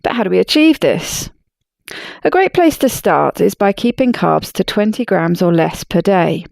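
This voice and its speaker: A woman's voice: mid-range voice, big pitch swings, normal speed, vocal fry, a little mellow, dry recording, studio quality. A woman hosting a podcast, reading a scripted intro and then chatting with her guest in an upbeat, friendly way. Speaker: podcast host. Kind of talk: scripted intro, then casual interview chat.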